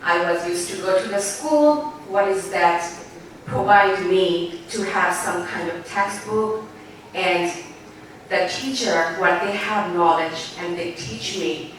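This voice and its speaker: A woman in her forties with a Bangladeshi accent speaks at a formal event, and her heartfelt, halting phrasing keeps circling back. Her voice sits fairly low. She is heard from well off the mic in a strongly echoing hall, with a faint room hum beneath her. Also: recorded from a distance. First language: Bengali. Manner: halting, heartfelt, loose grammar. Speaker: newspaper editor giving a speech. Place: large banquet hall.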